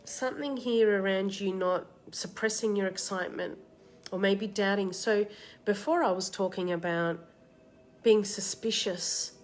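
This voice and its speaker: A woman with a slight cold and a tickly throat, hosting a live card reading and giving soft, reassuring advice to viewers by name. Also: medium pitch, a little slow, soft background music. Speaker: card reader and meditation host. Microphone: live-stream setup.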